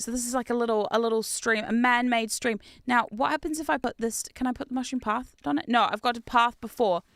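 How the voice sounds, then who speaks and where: Young Kiwi-British woman streamer, bright higher voice, dry close sound, chatty and animated. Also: dry recording. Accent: mix of New Zealand and British. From New Zealand. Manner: chatty, animated, reads chat aloud, laughs often. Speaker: game streamer. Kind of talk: casual gaming livestream chat.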